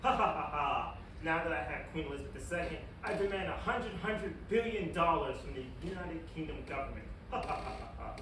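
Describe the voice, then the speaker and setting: A wicked young supervillain scientist, acted out by a man, speaks in a slightly high voice with theatrical self-importance, as in a comedy cartoon. He is recorded from a distance in a very echoey room. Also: animated, fairly quick, few pauses, close to an everyday voice. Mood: gloating. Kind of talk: animated comedy pilot table read. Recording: roomy, distant mic, clearly noisy.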